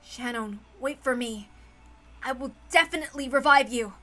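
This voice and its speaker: A woman's voice: high-pitched, normal speed, bright, forceful tone. Woman voicing an earnest, determined young man. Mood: earnest resolve.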